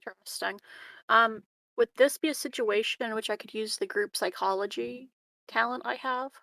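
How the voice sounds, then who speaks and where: A woman's voice: on the high side, fairly even intonation, creaky, bright, forceful tone, no echo. Tentative woman playing a tabletop game. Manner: tentative, halting. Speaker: player in a tabletop roleplaying game. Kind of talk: casual game discussion.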